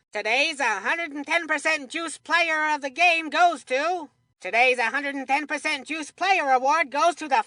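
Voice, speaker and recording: High, bright kid sportscaster. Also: female voice, dry recording, studio quality, exaggerated voice. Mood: announcer pep. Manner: folksy, earnest announcer delivery. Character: eager, chatty kid sports commentator boy. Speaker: sports commentator. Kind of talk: cartoon game sportscast commentary.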